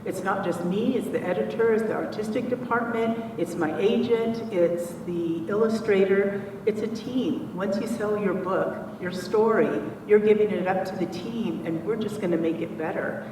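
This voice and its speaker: A woman's voice: mid-range voice, moderate pace, few pauses, recorded from a distance. A woman in her sixties, a children's author speaking earnestly on a conference panel. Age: 60s. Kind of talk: panel discussion remarks. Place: conference hall. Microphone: far from the mic. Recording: echoey hall recorded from afar, noisy.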